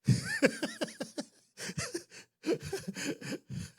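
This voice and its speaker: A man's voice: very high voice, wildly swooping pitch, very slow. A middle-aged man and pastor, originally an English speaker, preaching in an informal way with frequent restarts and tag questions. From born in New York, raised in Puerto Rico. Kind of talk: conversational sermon.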